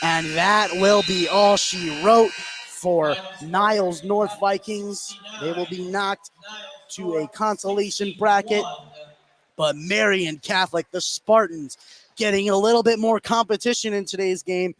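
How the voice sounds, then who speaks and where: High-pitched American man calling live basketball. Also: steady tone, unhurried, a bit bright. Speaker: play-by-play basketball announcer. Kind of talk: live sports commentary.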